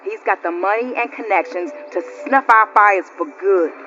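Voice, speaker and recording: A woman's voice: mid-range voice, normal speed, bright, forceful tone, soft background music, natural voice. A woman playing a hardy, plain-spoken quarry crew boss in a video game, with a folksy, colloquial delivery. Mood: frustrated. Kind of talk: cinematic video game acting. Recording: dull lo-fi audio with faint noise.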